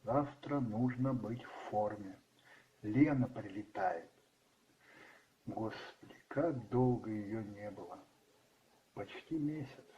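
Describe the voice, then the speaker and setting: A man's voice: fairly low pitch, slow, pauses often, slight background noise. A man narrating a radio play in a brooding, theatrical way with emphatic stresses. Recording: dull muffled sound with music behind. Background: music.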